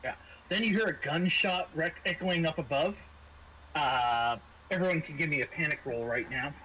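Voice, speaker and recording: Bright-voiced male game master, fairly high pitch. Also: moderate pace, a little hiss or hum, rough recording. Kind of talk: narrating a tabletop horror game.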